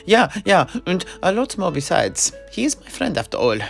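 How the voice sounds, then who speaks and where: Male actor as mercenary knight, gravelly creak, lively swooping intonation, good-humoured storyteller, fantasy audio play. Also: high voice, normal speed, faint music behind, exaggerated voice. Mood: storytelling. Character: worldly, good-humoured mercenary knight telling his tale.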